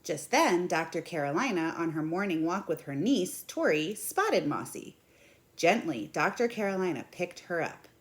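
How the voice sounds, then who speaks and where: A woman in her thirties reads a children's picture book aloud at home. Her voice sits a little low for a woman. She phrases things deliberately and with expression, as a storyteller would. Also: very animated. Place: small indoor room at home.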